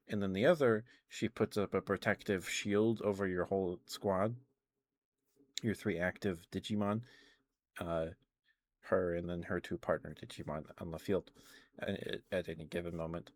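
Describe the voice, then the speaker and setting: A man's voice: low-pitched, unhurried. A man streaming casual ASMR chat about video games, rambling and hesitant as he trails off and corrects himself.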